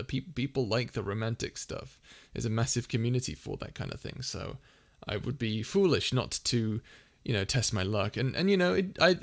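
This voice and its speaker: A man with a soft, soothing voice at an everyday middle pitch chats casually on a livestream. He wanders through his answers and often stops to restart a sentence or fill a pause. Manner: rambling, full of fillers and restarts. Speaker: ASMR channel host answering viewer questions.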